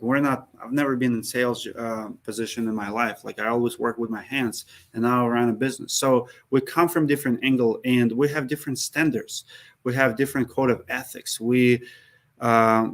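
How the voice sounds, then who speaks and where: A man's voice: mid-range voice, a bit bright. Accented man hosting casual live Q&A. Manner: says he speaks with an accent, drops articles, many fillers and restarts. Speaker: host. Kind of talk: live Q&A with viewers. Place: Minneapolis.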